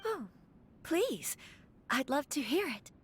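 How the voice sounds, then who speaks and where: A woman's voice: high voice, very animated, takes it slowly, slight background noise, exaggerated voice. An actress playing an earnest, well-bred young noblewoman in a video game, speaking politely and formally. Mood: polite interest. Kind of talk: dramatic video game dialogue.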